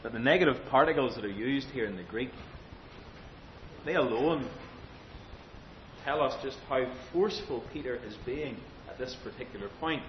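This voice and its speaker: Guy preaching a sermon, emphatic n deliberate, muffled recording w faint rumble. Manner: emphatic, deliberate phrasing with rhetorical questions and repetition. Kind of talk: expository sermon. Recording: dull lo-fi sound, faint rumbling noise.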